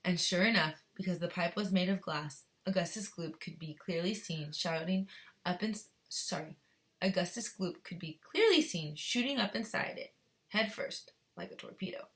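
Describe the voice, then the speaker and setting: A woman's voice: lowish voice, moderate pace, fairly bright tone. A woman teacher reading a children's story aloud expressively, with explanatory asides for young pupils. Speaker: second-grade teacher.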